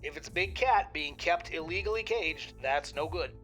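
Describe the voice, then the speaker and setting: A man playing a calm, polite federal wildlife agent speaking in a matter-of-fact, procedural way in an audio drama. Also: on the high side, normal speed, soft background music. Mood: calm, official. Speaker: special agent. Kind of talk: official phone conversation in audio drama.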